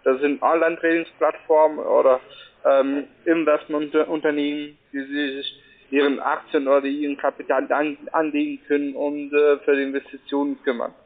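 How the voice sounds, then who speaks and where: A man who is not a native German speaker, making a halting telephone sales pitch and often checking in with a short question. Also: little pitch movement. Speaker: cold-calling telephone salesman. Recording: tinny narrow-band phone line.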